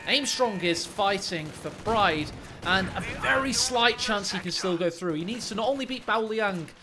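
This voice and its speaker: A man's voice: high voice, expressive, moderate pace, a crowd in the background. A man co-commentating a strategy game match, joking and laughing often. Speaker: game caster. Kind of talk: laid-back esports co-commentary. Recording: game noise under voice.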